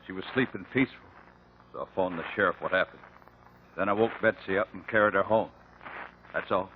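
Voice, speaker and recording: A man's voice: low voice, a little fast, natural voice. An American man acting a sullen, stubborn country husband in a 1950s radio drama, speaking tersely in rural phrasing. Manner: terse, flat rural speech. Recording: narrow-band, faintly noisy old radio recording.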